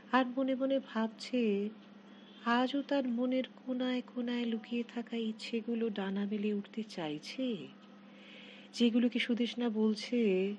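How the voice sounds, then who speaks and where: A middle-aged woman reading her own literary short story in an expressive, measured recitation. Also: mid-range voice, slight background noise. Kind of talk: scripted literary story narration.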